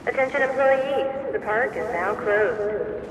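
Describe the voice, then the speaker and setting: A man playing a formal park announcer, giving a public address announcement over an echoey, noisy loudspeaker. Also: high-pitched, slow.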